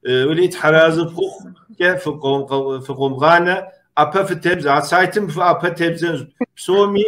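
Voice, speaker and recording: A man hosting a casual video interview, speaking in a halting, hesitant way on an online call. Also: fairly high pitch, fairly even intonation, a bit bright. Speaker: host.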